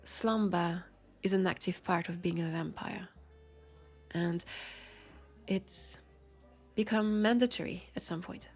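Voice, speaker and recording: Actress for wary old vampire detective, female, French accent, husky breathy voice, pensive roleplay delivery over background music. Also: on the low side, slow pace, pauses often. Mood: reflective, explaining. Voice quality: breathy, soft. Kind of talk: intimate scripted audio roleplay, addressed to listener. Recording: music under the voice.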